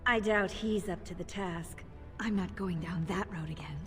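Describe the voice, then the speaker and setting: A woman's voice: fairly low pitch, normal speed, a bit bright, soft background music, lightly acted. An actress playing a choosy female dwarf social climber in a video game, snooty and conspiratorial, in noisy reverberant sound. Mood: scheming.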